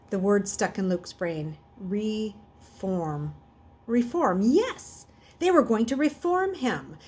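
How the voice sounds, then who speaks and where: A woman reading a children's novel aloud in an expressive way, occasionally stumbling and correcting herself. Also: mid-range voice, breathy edge. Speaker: reader.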